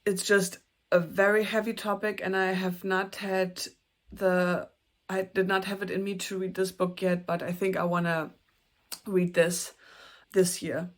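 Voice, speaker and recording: A young woman with a German accent, chatting earnestly to camera about books she plans to read, with a few soft, self-conscious laughs. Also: medium pitch, level tone, a little slow. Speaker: booktuber. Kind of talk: casual book talk to camera.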